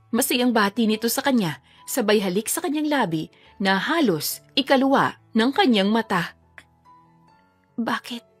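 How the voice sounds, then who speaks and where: A woman's voice: medium pitch, no room sound. A woman reading a scripted romance story as a dramatic narrator.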